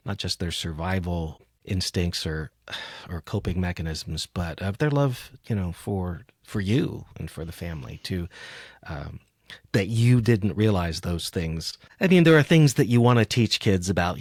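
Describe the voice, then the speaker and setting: A man's voice: sits low, no room sound, studio quality. A man from the United States hosting a conversational interview in an attentive, reflective way. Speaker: podcast host.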